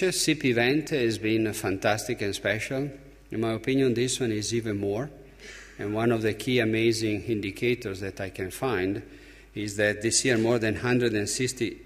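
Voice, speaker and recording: A man from Italy with an Italian accent gives a conference speech as an opening speaker, emphatically, with halting, deliberate pauses. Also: lowish voice. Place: large conference hall. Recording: reverberant hall, long echo.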